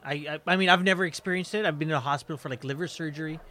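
A man's voice: on the high side, sharp tone. Stammering curious male interviewer. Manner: halting, repeats words and restarts, curious questioner. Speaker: host. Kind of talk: casual interview.

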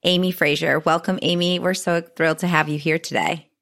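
A woman's voice: fairly low pitch, steady tone, brisk, vocal fry, fairly bright tone. A woman hosting a podcast, giving a friendly welcome and asking chatty questions. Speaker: podcast host.

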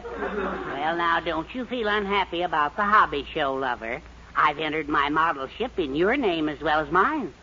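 An American actor playing a meek, doting, henpecked middle-aged husband in a 1940s radio sitcom. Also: male voice, on the high side, expressive, moderate pace, bright, forceful tone. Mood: soothing. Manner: mild, placating, hesitant. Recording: muffled, very noisy old radio recording.

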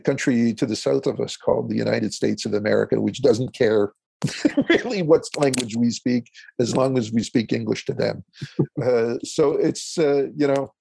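A man from Eastern Ontario whose first language is French, answering interview questions as a consultant in a halting way, with many fillers and restarts. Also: mid-range voice, creaky, darkish tone, slightly rough, no echo. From Eastern Ontario, Canada. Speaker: guest, consultant.

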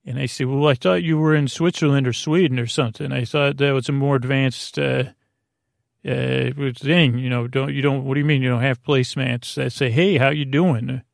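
A man with a creaky voice hosting a bedtime podcast, meandering haltingly and with gentle silliness through a monologue meant to lull listeners to sleep. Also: mid-range voice, steady tone, no echo, studio quality. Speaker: podcast host.

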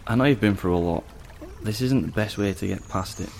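An actor plays a young man in an audio drama, a caring but doubtful friend. His voice is fairly low and creaky, his delivery questioning and low-key. There is faint water noise behind him. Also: a little fast, close to an everyday voice. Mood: doubtful, concerned.

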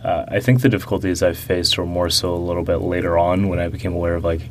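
A young man answering interview questions about growing up, talking slowly and reflectively with many fillers and restarts. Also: deep voice, fairly even intonation, faint music behind.

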